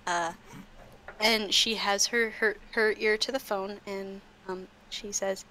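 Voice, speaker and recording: A woman chatting and narrating as a tabletop game master, halting and repeating her words. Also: mid-range voice, fairly even intonation, pushed and bright, rough recording. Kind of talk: casual improvised tabletop game narration and chat. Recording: slight background noise.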